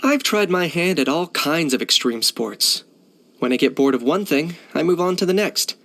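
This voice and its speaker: Composed, dry young hunter. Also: male voice, fairly high pitch, expressive, normal speed, a bit bright. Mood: reflective, composed. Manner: composed, matter-of-fact, dry. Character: cool, pragmatic young male hunter and mercenary. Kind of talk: video game character acting.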